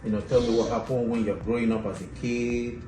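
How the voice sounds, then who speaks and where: A man's voice: medium pitch, little pitch movement, brisk. A man hosting a cooking show, chatting casually as he serves food and interviews his guest. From Africa. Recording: echoey, distant mic, loud music behind.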